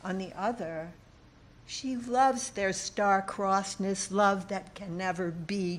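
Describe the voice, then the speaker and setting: A woman reading a literary personal essay aloud, with deliberate, dramatic phrasing and pauses. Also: on the low side. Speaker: essayist reading own work.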